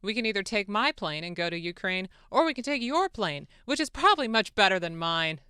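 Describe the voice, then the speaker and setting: A woman's voice: mid-range voice, very animated, a little fast, sharp tone, smooth, no echo, studio quality. A woman voicing an eager, deferential foreign president in a formal, polite dramatic reading. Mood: gracious, eager. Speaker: radio show producer. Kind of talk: dramatic reading of a phone-call transcript. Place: radio studio. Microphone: close studio microphone.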